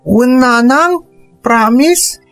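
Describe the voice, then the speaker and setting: A woman's voice: a little high, animated, extremely slow, soft background music, pitched above the performer's own voice, full character voice. A woman doing a pleading little boy. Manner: pleading questions. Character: young boy, anxious and clinging. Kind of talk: Ilocano radio drama acting.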